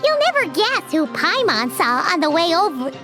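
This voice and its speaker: A woman's voice: very high voice, very animated, moderate pace. A woman voicing a small, bubbly, food-loving girlish companion who talks about herself in the third person, in a game cutscene. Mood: chirpy. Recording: game audio with music behind.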